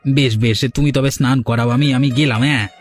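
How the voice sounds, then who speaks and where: Hapless cartoon rogue played by a man, medium pitch, wheedling and exasperated. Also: steady tone, fast talker, soft background music, lightly acted. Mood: brusque, evasive. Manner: wheedling, grumbling, repeats words. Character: sly, hapless married village chicken thief, wheedling and exasperated. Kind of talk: animated folk-tale cartoon acting.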